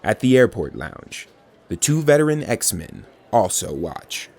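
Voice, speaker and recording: Low voiced guy narrating a scripted audio drama, reading out the action. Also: big pitch swings, measured pace, a little mellow.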